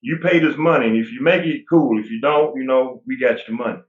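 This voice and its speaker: A man's voice: mid-range voice, brisk. Rambling forties man over a tinny line. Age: 40s. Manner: relaxed, rambling with frequent restarts. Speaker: guest bodybuilder. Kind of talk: casual interview talk. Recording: tinny phone-like line, roomy echo.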